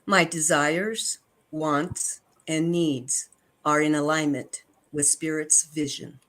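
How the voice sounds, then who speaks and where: A woman reading affirmations and spiritual texts aloud in a measured, devotional way. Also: low-pitched, fairly even intonation, fairly bright tone. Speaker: affirmations and scripture reader.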